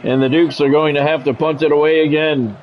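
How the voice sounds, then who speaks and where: A man's voice: fairly high pitch, steady tone, a little fast, dull audio, some chatter behind, a little hiss or hum. A man doing play-by-play of a football video game on a live stream, mixing in plain-spoken, grumbling chat. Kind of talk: sports play-by-play commentary mixed with casual stream chat.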